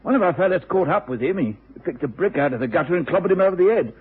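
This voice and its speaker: An actor playing a weary, matter-of-fact police inspector in a radio drama. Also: male voice, high voice, lively intonation, fast, slightly rough, heavily acted. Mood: rueful. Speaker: supporting actor. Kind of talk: British radio drama acting. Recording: tinny old radio recording.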